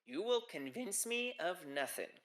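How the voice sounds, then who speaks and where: Man doing a gushing Minnesotan grim reaper, bright voice. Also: high voice, big pitch swings, unhurried, roomy sound, voice pushed up, exaggerated voice. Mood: playfully stubborn. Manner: chatty, gushing.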